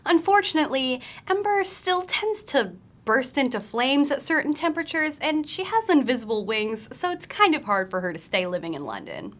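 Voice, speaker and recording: A woman's voice: high-pitched, very animated, moderate pace, few pauses, fairly bright tone. A woman hosting a book recommendation video, speaking in a scripted presenter style to viewers. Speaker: host of a book recommendation series.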